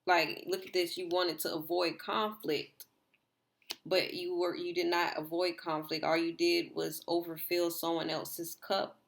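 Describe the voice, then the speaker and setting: A slightly hoarse woman talks her viewers through a tarot spread in a loose, rambling way, saying "like" again and again. Her voice sits low and carries a gravelly fry. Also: level tone, measured pace. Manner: rambling, repeats herself, filler "like". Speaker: tarot reader. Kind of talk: casual tarot reading to viewers.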